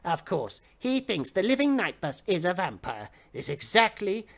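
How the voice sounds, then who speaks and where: A man's voice: high voice, big pitch swings, normal speed, gravelly, a bit bright. A man reads fiction aloud in a theatrical, mock-serious audiobook style, as if performing for a livestream. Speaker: reader of comic fiction on a livestream. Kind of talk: dramatic audiobook-style reading.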